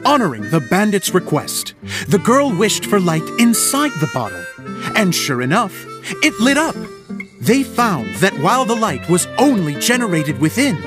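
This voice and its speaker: A man acting as an earnest, theatrical storybook narrator in a video game, with an expressive delivery. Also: sits high. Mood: gentle. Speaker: narrator of a play. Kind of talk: scripted fairy-tale narration in game.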